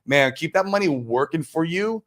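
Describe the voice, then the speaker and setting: A man's voice: a little high, lively intonation, moderate pace, fairly bright tone. An American man hosting a livestream, chatting casually and answering viewers in a slangy, exclamatory way. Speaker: livestream host. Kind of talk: casual chat, answering viewer comments live.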